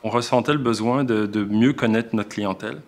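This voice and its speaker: A man's voice: lowish voice, fairly quick. A male teacher with a Québécois accent, explaining in a documentary interview and often restarting his sentences as he thinks. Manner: reflective, explains with frequent restarts. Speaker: elementary school teacher.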